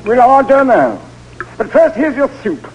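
An actor playing a chatty, easily frightened prison guard in a 1940s radio drama, on a noisy old recording. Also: male voice, extremely high pitch, huge pitch swings, a little slow, breathy edge, muffled recording, heavily acted.

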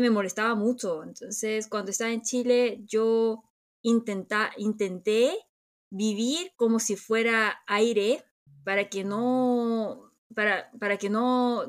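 A middle-aged woman from Korea with a Korean accent, co-hosting a chat podcast in a reflective way, often restarting and searching for words. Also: fairly high pitch. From South Korea. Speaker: podcast co-host. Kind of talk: casual chat.

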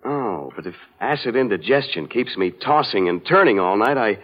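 Sheepish husband, very animated pitch, sighing, genial, 1940s radio sketch, dull old recording. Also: male voice, normal speed. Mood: sheepish, uncomfortable. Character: genial, regretful husband with an overfull stomach.

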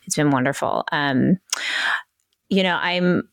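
Low-voiced woman podcast co-host. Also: takes it slowly, creaky, no room sound, studio quality. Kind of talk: casual interview chat.

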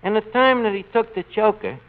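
An actor playing a boastful tavern manager in a 1940s radio comedy, delivering folksy, pompous wisecracks and mangled words. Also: male voice, sits high, sing-song, fairly quick. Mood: philosophizing. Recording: muffled old radio broadcast with hiss.

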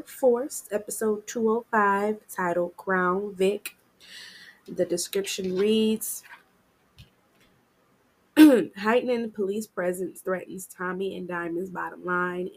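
A woman's voice: on the low side, vocal fry, breathy edge. Chatty woman podcast host with a cold. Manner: chatty, slangy, upbeat address to listeners. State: getting over a cold. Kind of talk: casual TV recap podcast.

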